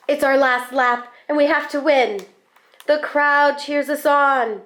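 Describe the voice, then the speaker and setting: High-voiced woman reading a storybook aloud. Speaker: school staff member reading a picture book aloud. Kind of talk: expressive children's storybook read-aloud.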